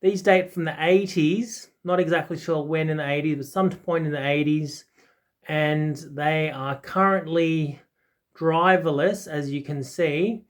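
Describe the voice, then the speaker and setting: A man's voice: fairly high pitch, steady tone, measured pace. A man in his forties giving a casual, unscripted product talk, rambling and often hesitating or correcting himself. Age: 40s. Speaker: audiophile video host.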